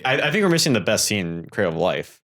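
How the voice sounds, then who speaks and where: Male podcast co-host, slightly low voice, halting, repetitive, casual film chat. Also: big pitch swings, a bit bright.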